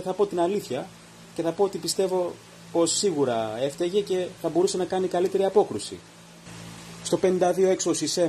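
A man's voice: on the high side, dark tone, a little hiss or hum. A man hosting a podcast, giving an opinionated minute-by-minute review of a football match. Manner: opinionated, reads out events minute by minute. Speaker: podcast host. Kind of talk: post-match commentary monologue.

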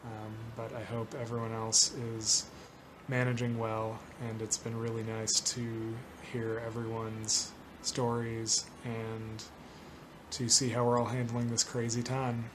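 A man in his thirties talks casually into a phone. His delivery is extremely flat in pitch, with clear noise in the recording. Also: a little slow. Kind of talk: casual video update. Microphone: phone at arm's length.

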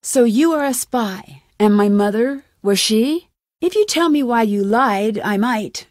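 Young female detective, video game dialogue, slightly high pitch, earnest, determined, questioning, dry recording. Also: animated, a little slow, darkish tone, dry recording. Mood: probing, insistent. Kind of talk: scripted game dialogue, isolated lines.

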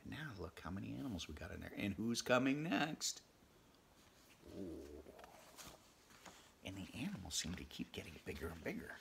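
A middle-aged man reading a picture book aloud at home, expressive, pausing to chat about the pictures and dropping into whispers. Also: deep voice, gravelly, a little hiss or hum. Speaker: read-aloud storyteller. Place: home room, indoors.

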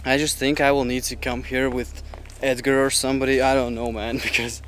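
Young dude vlogging on foot outside, kinda jittery, sweary, bit of background noise on a handheld cam. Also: male voice, medium pitch, flat delivery, fairly bright tone. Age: young adult. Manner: uneasy, halting, swearing, talking while walking. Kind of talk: casual solo exploration vlog. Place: outdoors in woods, walking. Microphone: handheld camera at arm's length. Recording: noisy outdoor handheld recording.

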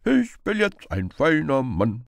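A man's voice: mid-range voice, wildly swooping pitch, slow pace, vocal fry, no echo, clean studio sound, voice pushed up, full character voice. A man voicing a smug, boastful male beggar in a storybook reading. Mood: merry singsong.

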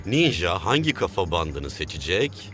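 A man's voice: low-pitched, big pitch swings, measured pace, fairly bright tone, soft background music, heavily acted. A man voicing a male character in a children's cartoon dub. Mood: suspenseful.

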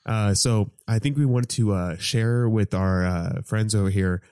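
Young male host, twenties, low warm voice, halting casual delivery, dry sound. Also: smooth, dry recording. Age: 20s. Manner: hesitant, laughing, many fillers. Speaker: podcast host. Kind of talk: casual podcast chat.

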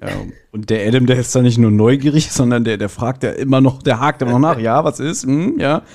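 A man's voice: mid-range voice, fairly quick, a little mellow. A man talking casually as a guest on a podcast. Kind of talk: casual chat.

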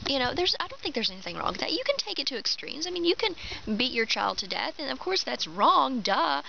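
A woman's voice: high voice, very animated, brisk, sharp tone. A woman in her twenties with a Kentucky Southern accent, talking casually and animatedly to her camera outdoors in a pine wood, laughing often. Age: 20s. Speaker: vlogger. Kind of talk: casual opinion vlog. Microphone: camera mic, head-and-shoulders framing. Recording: dull lo-fi outdoor audio with clear background noise.

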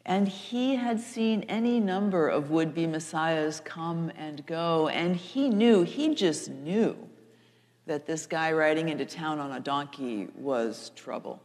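A woman in her sixties, a pastor preaching a storytelling sermon with deliberate, emphatic repetition. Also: deep voice, a little mellow. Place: large church sanctuary, seated at front. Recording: echoey church hall, long reverb.

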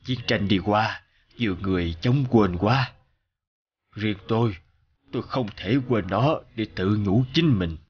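A man narrating the words of a reflective older businessman, a war veteran recalling hardship in a measured, reminiscing way. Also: fairly low pitch, slightly rough, highs cut off.

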